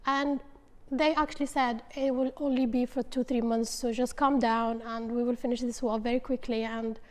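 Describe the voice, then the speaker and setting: Interviewee: young woman from Yemen, high-ish voice, halting, searching for words, long reverb. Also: level tone. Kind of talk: casual interview answers.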